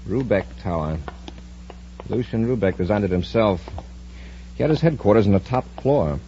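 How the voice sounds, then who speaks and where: A muffled, noisy old radio serial gives us a man acting as a space patrol commander. His voice is low and relaxed, and he speaks with deliberate, watchful authority. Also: lightly acted. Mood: calm, watchful.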